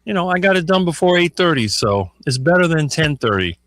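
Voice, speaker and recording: A man chatting casually on a video call, relaxed and unhurried. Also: on the high side, no room sound, clean studio sound. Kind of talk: casual chat.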